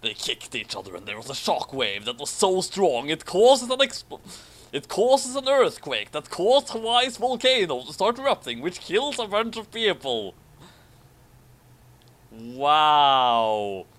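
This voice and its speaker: A man's voice: fairly high pitch, very animated, a little slow, a bit bright. A man co-hosting a casual commentary, reading a story aloud theatrically and adding dry, sarcastic asides.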